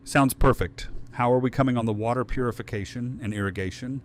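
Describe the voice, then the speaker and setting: An actor playing a friendly survivor on a community council in an audio drama, speaking casually. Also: male voice, medium pitch, a little fast, slight background noise, close to an everyday voice. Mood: businesslike.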